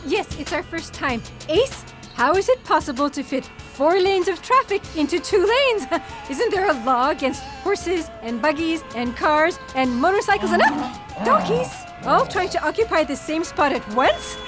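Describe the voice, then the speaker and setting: A woman's voice: extremely high pitch, big pitch swings, normal speed, music in the background. A woman playing an anxious, chatty female traveller in audio theatre, flustered and full of questions.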